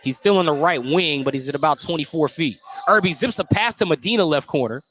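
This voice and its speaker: A man's voice: fairly high pitch, a little fast, fairly bright tone, slight background noise. A man doing live radio play-by-play of a basketball game, calling each play in clipped, detailed bursts. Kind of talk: live radio sports commentary. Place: indoor high school gymnasium.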